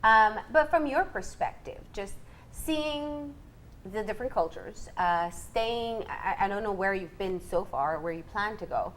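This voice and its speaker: A woman's voice: mid-range voice, lively intonation, measured pace, a bit bright, a little hiss or hum. A woman hosting a conversational interview. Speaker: TV interview host.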